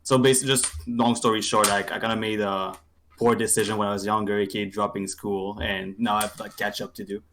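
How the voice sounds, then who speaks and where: A man's voice: fairly low pitch, little pitch movement, quick pace, a bit bright, a little hiss or hum. A young man from Quebec, a streamer appearing as a guest, chatting in a laid-back, self-deprecating, rambling way. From Quebec City, Canada. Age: young adult. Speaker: talk show guest, gaming streamer. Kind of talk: casual chat.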